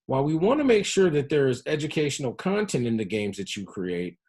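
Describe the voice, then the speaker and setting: Slightly husky male presenter, measured delivery. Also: medium pitch, darkish tone. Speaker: public health presenter. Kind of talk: educational presentation on health-focused game design.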